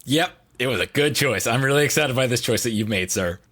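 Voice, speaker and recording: A man's voice: medium pitch, animated, brisk, fairly bright tone, dry recording. A man talking casually as a podcast guest, rambling a little as he thinks aloud. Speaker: podcast guest, audio drama producer. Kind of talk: casual chat.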